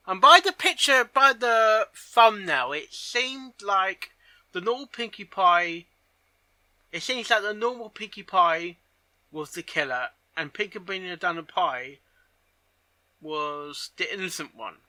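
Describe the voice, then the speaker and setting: A man giving casual reaction commentary on a story, halting and often repeating his phrases as he goes. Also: sits high, animated, sharp tone, no room sound. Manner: halting, repeats and rephrases himself. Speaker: reaction video host.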